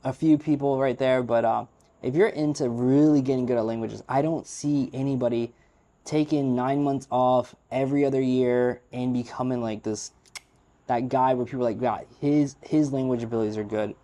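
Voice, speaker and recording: Male vlogger, native English speaker, mid pitch, dark mellow timbre, candid rambling delivery. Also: steady tone, normal speed. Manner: frank, opinionated, rambling with fillers. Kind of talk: unscripted casual monologue.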